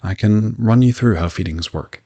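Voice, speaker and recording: A man playing a polite, reassuring modern vampire in a roleplay audio drama, talking gently and matter-of-factly to the listener. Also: on the low side, normal speed, slightly rough, dull audio. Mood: calm, reassuring.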